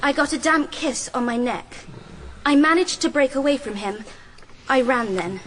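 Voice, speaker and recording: A woman's voice: high-pitched, normal speed, a bit bright. An actress playing a sensible seventeen-year-old schoolgirl witness in a radio drama, composed and matter-of-fact. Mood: composed, earnest. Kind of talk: radio courtroom drama acting. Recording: noticeable background noise and ambience.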